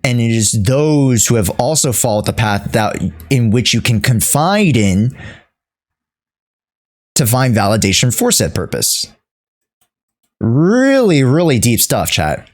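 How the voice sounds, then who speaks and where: A man talking off the cuff to his livestream chat, halting and repeating himself as he searches for words. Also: mid-range voice, sing-song. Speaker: streamer. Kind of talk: unscripted livestream commentary to chat.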